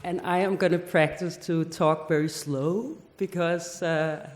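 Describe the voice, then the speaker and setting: A woman in her forties from Denmark giving a conference talk, lively, with joking asides and rhetorical questions. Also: on the low side, measured pace, soft background music. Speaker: festival head giving a seminar talk. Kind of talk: conference presentation. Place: seminar hall. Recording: echoey hall with long reverb.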